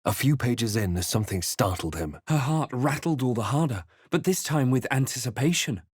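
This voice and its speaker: A man playing a grave, watchful fantasy adventurer in a video game, narrating in the first person with measured, dramatic delivery. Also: mid-range voice, expressive, darkish tone, a little hiss or hum, close to an everyday voice. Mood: grave narration.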